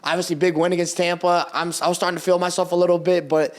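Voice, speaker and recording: A young man whose first language is Portuguese, talking football with friends on a podcast. His voice sits a touch high for a man, and he talks in quick, animated bursts, often cutting himself off and swearing freely. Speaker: podcast co-host. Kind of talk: casual sports chat.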